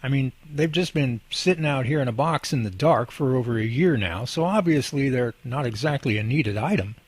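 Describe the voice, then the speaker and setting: A man's voice: mid-range voice, expressive, normal speed, breathy edge, no room sound. A man acting as a goofy, teasing and earnest young husband in a radio drama, with an exaggerated delivery. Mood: earnest, persuasive.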